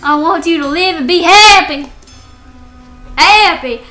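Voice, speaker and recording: A woman voicing a bitter, possessive, sorrowful ghostly mother in a horror game, with a dramatic, deliberate delivery. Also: extremely high pitch, sharp tone, faint music behind, voice pushed up, exaggerated voice. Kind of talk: dubbing dialogue of a horror game.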